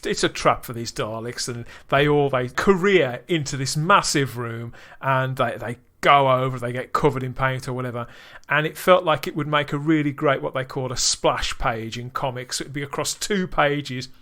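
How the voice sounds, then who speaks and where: A man's voice: mid-range voice, sharp tone. A man hosting a podcast review chat, enthusiastic and pulling his co-hosts in with tag questions. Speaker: podcast host. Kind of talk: casual review discussion.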